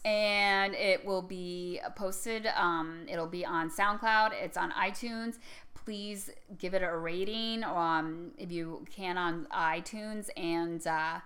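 A woman's voice: on the low side, steady tone, slow, a bit bright. A woman in her forties chatting casually and enthusiastically as a podcast host, often stressing points by repeating herself. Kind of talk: casual chat.

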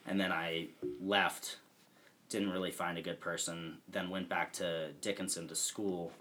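Laid-back male host, very deep voice, faint hiss. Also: level tone, a little slow, a bit bright. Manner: laid-back, loose, swears casually. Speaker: podcast host. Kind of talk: casual podcast conversation.

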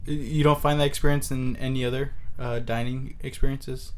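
A man hosts a relaxed, conversational interview. He feels his way into questions haltingly, often stammering and restarting, in a casual register. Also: medium pitch. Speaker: interviewer, host.

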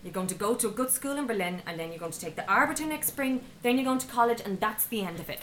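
A woman's voice: fairly high pitch, expressive, quick pace, fairly bright tone, slight background noise. An actress playing a stern, exasperated middle-aged Irish mother in a radio drama, scolding and commanding. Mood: firm. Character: stern, exasperated mother of a rebellious teenage daughter.

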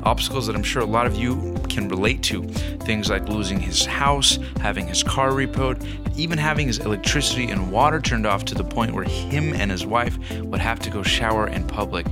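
Male podcast host, lower voice, promotional intro over loud guitar bed. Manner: upbeat, salesy intro read. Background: loud guitar music.

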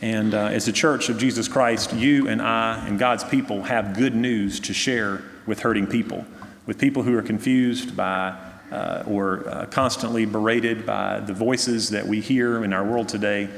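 A man serving as pastor, giving a measured, earnest sermon to his congregation, with frequent fillers. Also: on the low side, steady tone, vocal fry. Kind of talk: sermon with pastoral prayer concerns. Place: church sanctuary. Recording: long church reverb.

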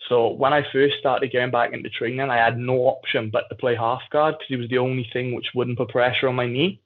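A man from the South Wales Valleys tells stories in a candid, informal way, as a guest in a relaxed conversation. The audio is narrow and phone-like, as if over a call. His pitch is average for a man. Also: a little fast. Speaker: podcast guest, jiu-jitsu athlete. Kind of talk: casual conversational storytelling.

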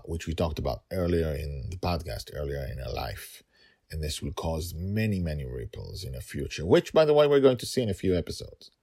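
A middle-aged man narrating a story podcast as its host and author, deliberate, with dramatic pauses and emphatic repetition. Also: extremely low pitch, creaky, a little mellow.

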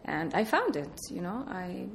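Woman from Iraq, slightly low voice, hesitant and soft-spoken guest. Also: very animated, unhurried, a little hiss or hum. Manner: soft-spoken, laughs often, hesitant fillers. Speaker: artist, interview guest. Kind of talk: casual interview answers.

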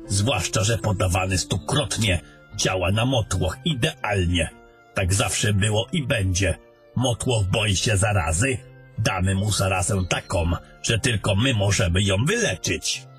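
Male actor as cynical wizard mentor, older, low register, commanding lecturer, fantasy audio drama with music bed. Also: a bit bright. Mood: cynical, lecturing. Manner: measured, lecturing. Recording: music under the voice.

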